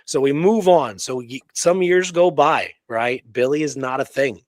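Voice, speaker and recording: A man talks history as a podcast co-host in a casual, conversational way. He makes his points emphatically and often tags them with a quick check for agreement. His pitch is average for a man, and the sound is close and dry. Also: no room sound. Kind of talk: casual history discussion with some reading aloud.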